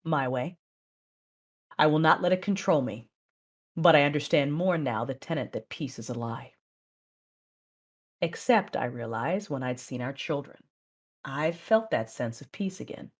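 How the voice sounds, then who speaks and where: A woman acting as a controlled, proud Sith mother, narrating and speaking in a measured, intense way in an audio drama. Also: low voice, animated. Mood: brooding. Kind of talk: first-person dramatic narration and dialogue.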